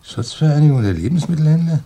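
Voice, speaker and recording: An actor playing a dry, curious drinking companion in a radio crime drama, asking terse questions. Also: male voice, on the high side, animated, quick pace, mellow, slightly rough. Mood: curious, wry.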